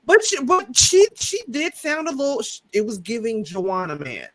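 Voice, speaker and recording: A woman with a bright, sharp-edged voice gives blunt opinions while reviewing a reality show. Her pitch swings widely as she argues her point, and she talks directly to the audience in a loose, casual way. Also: wildly swooping pitch, moderate pace. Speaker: podcast co-host.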